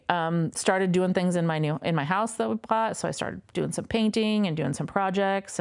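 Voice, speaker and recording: A woman guest sharing a personal story in a reflective, unhurried way, with frequent fillers. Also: fairly low pitch, clear, resonant tone. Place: a home.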